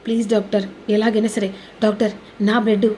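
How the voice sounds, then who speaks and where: A woman's voice: mid-range voice, level tone, brisk, mellow, slight background noise, close to an everyday voice. A woman voicing an earnest family peacemaker in a serial recap, imploring and repeating pleas. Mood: pleading. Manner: imploring, repetitive.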